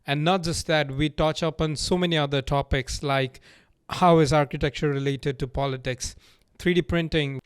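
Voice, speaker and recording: A man hosting a podcast, talking in a relaxed, friendly way with frequent laughs. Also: a little high, normal speed, hardly any pauses, clear, resonant tone, no room sound, studio quality. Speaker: podcast host. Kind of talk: casual podcast chat.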